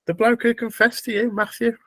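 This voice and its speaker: A man's voice: extremely high pitch, level tone, brisk, fairly bright tone, no echo. A man playing a tabletop game, roleplaying casually in a blunt, flippant way.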